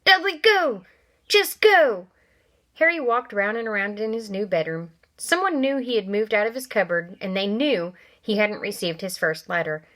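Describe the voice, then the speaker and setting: A woman reading a children's novel aloud, with animated character voices and chatty asides to young listeners. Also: mid-range voice, normal speed, few pauses. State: coughing from allergies. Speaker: reader.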